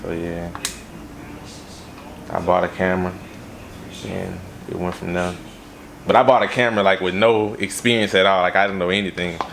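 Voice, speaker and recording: Low gravelly laid-back young man. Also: steady tone, moderate pace, long pauses, background noise. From Los Angeles, raised in Baton Rouge, Louisiana. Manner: laid-back, many "like" fillers and restarts. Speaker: music video director, interviewee. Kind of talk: casual interview answers.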